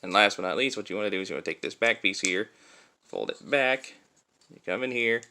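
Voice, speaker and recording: A man's voice: fairly low pitch, animated, brisk, pushed and bright. A man talking informally through a hands-on toy review, explaining things step by step. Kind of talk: casual hands-on product review.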